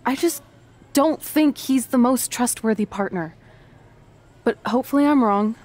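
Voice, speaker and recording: A woman's voice: on the high side, unhurried, darkish tone. An actress playing a sensible, guarded woman wrestler in a video game cutscene, dryly skeptical. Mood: dry, cautious. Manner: level-headed, dryly skeptical.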